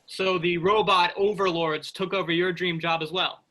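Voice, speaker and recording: A man's voice: high voice, steady tone, normal speed, pushed and bright, low-quality recording. A man playing a rebellious, cocky spaceship worker who distrusts robots, with a pressing, persuasive manner in a staged play reading. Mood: skeptical, challenging.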